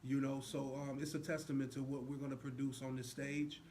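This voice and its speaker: Earnest guy guest, casual, pretty flat even tone, little bit of room noise. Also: medium pitch, a little fast. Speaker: stage actor, interview guest. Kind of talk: casual chat. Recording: faintly noisy room recording.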